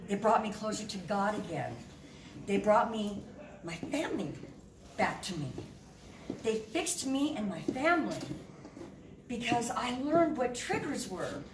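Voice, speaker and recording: A candid, animated woman in her fifties tells her own story from a stage, her voice sitting in a middle register. She sounds far from the mic, with a roomy echo and steady background noise. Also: mid-range voice, a little slow, long pauses. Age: 50s. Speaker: guest speaker. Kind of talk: personal testimony speech. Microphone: room mic at a distance. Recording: echoey hall from far off, noisy.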